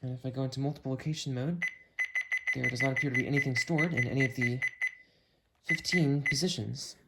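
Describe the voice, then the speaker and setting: A man's voice: medium pitch, steady tone, gravelly. Man casually reviewing a gadget, noisy recording. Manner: unhurried, thinking aloud while testing. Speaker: video creator demonstrating a weather radio. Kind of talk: casual hands-on review narration.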